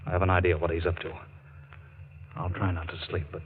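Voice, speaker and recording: Man playing a steady, low-voiced radio-drama hero. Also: brisk, natural voice. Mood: grave, protective. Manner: firm, commanding, earnest. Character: steady, protective, stern hero stranded in wilderness. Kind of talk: 1940s radio drama acting. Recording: muffled, noisy 1940s radio recording.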